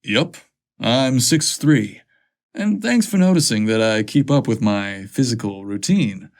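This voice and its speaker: Man playing confident, flirtatious male boss, slow-paced. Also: mid-range voice, expressive. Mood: proud, amused.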